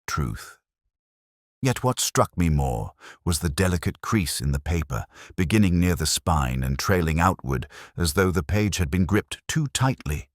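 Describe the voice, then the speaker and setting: A man playing a contemplative, brooding police detective, narrating a mystery audio drama in the first person with measured, solemn, literary delivery. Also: deep voice, expressive, no echo, clean studio sound. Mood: brooding, reflective. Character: brooding, contemplative male police detective recounting a murder case.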